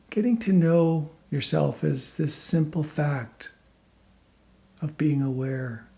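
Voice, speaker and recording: A male meditation teacher speaks in a voice pitched a little higher than most men's. His delivery is very slow and calm, laid out in short phrases with generous pauses, as he guides the listener through a meditation. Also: vocal fry.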